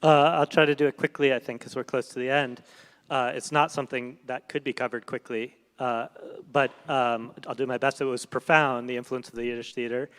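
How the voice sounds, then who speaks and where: A man answering audience questions on a panel, thoughtful and measured, with many fillers and restarts. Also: mid-range voice, fairly even intonation, fairly bright tone, smooth. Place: event hall on stage. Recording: reverberant hall.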